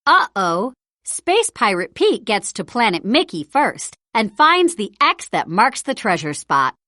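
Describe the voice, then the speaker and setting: A woman narrating a children's storybook read-aloud in an expressive, deliberate storytelling style. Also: medium pitch, no echo, studio quality. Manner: animated, deliberate storytelling delivery.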